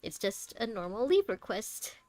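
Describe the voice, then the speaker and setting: A woman's voice: mid-range voice, sing-song, moderate pace, darkish tone. A woman livestreaming a game, chatting casually as she reacts to the story and to her viewers. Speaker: game streamer. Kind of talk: casual livestream commentary over gameplay.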